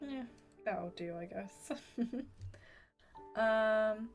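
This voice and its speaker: A woman with a soft, gravelly voice that is wearing hoarse, talking casually to her viewers while she draws. She keeps apologising and chatting along, with faint music playing underneath. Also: mid-range voice, very slow. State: voice going hoarse. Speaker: art streamer. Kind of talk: casual livestream chat while drawing.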